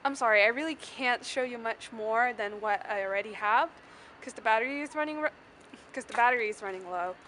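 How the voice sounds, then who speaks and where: A young woman with a fairly high voice talks as a student vlogger, casual and unscripted. She rambles informally, leaning on fillers as she gives a walking tour. The recording is handheld outdoor audio with faint noise behind her. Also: fairly quick, bright, forceful tone. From Malaysia. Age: young adult. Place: mostly outdoors on a university campus.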